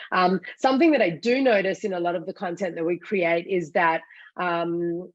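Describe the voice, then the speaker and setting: A woman's voice: lowish voice, no echo, dull audio. Australian woman explaining on a video call. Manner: articulate, explanatory, quick to laugh. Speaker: CEO of a cannabis education site. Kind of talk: casual interview answers.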